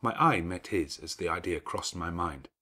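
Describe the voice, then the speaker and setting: A man's voice: sits low, normal speed, pushed and bright, slightly rough. A man reading scripted literary narration as an audiobook narrator.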